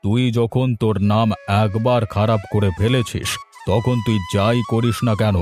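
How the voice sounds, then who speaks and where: A man voicing a gruff, dismissive and threatening village headman in a fairy-tale cartoon. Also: on the low side, fairly even intonation, normal speed, over music, natural voice. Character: gruff, suspicious village headman, harsh and unforgiving.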